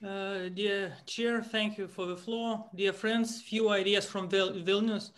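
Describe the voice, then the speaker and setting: A man, a member of parliament, giving measured formal remarks at an online panel. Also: sits high, little pitch movement, fairly bright tone. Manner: measured, tired after a long night.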